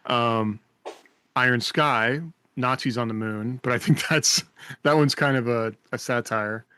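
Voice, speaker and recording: A man's voice: medium pitch, steady tone, a little slow, sharp tone, clear, resonant tone, no room sound. A man hosting a casual panel chat, thinking aloud in a somewhat hesitant way. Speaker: podcast host.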